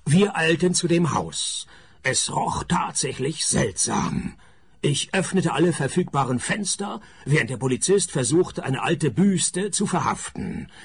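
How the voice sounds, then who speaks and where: A wry private detective from a radio crime comedy. His gravelly voice sits a little high and moves with lively swings, dry and sarcastic throughout. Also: measured pace, exaggerated voice. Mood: deadpan narrating. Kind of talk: scripted radio crime comedy narration and dialogue.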